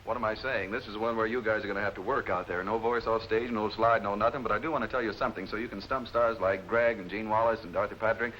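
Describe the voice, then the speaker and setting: A man's voice: lowish voice, fairly even intonation, quick pace, few pauses, fairly bright tone. Male host, American, chatty and teasing live quiz banter; dull, noisy early-fifties television sound. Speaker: game show host. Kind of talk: live TV game show hosting, lively banter. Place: TV studio. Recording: muffled, noisy early-1950s TV broadcast.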